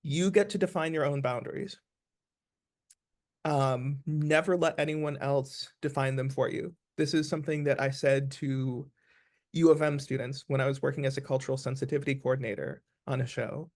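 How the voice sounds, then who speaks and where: A man's voice: mid-range voice, steady tone, moderate pace, a little mellow. A man speaking as an interview guest, giving advice conversationally in an earnest, emphatic way, repeating phrases for stress.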